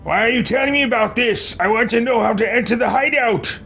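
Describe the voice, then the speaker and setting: A man's voice: extremely high pitch, fairly quick, creaky, sharp tone, husky. A man streaming a video game, musing and reading a guide aloud in a theatrical let's play style. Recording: game music behind the voice. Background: video game music.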